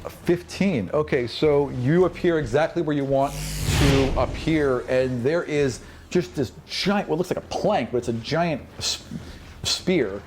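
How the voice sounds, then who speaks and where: A man's voice: fairly high pitch, a little slow. A man working as game master, improvising narration of a tabletop fantasy game and acting out sound effects as he goes. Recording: noisy room recording.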